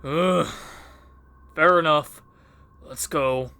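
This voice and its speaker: A man's voice: a little high, drawn-out words, sharp tone, full character voice. An actor playing an angry, exasperated young man with a sardonic, foul-mouthed manner in an audio horror drama.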